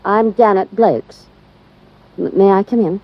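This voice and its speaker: A woman's voice: mid-range voice, measured pace. A guarded, hesitant young woman. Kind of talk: 1950s radio drama acting. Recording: dull lo-fi old radio recording.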